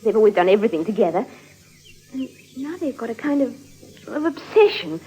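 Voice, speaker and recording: An actress playing an earnest, anxious young English niece, eager and pleading, in old radio drama style. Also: female voice, on the high side, big pitch swings, brisk, mellow, breathy edge, exaggerated voice. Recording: dull lo-fi old radio recording.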